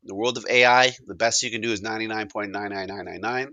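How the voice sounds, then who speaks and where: A man's voice: fairly low pitch, fairly even intonation, quick pace, pushed and bright, dry recording, dull audio. A man with a Canadian accent giving an informal, plain-spoken explanatory presentation in a conversational way. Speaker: presenter, digital and AI consultant.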